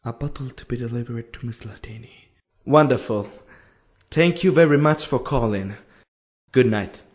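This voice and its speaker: A man's voice: mid-range voice, normal speed, lightly acted. Man playing a polite, mid-voiced ship waiter. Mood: polite, businesslike. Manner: polite, easygoing. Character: friendly, gentlemanly male ship waiter. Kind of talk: radio play acting.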